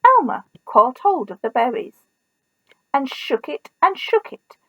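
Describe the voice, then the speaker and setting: A woman teacher reading a children's story expressively, in a deliberate manner with pauses. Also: high voice, very animated, sharp tone, no room sound. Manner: deliberate, pausing, asks listeners questions.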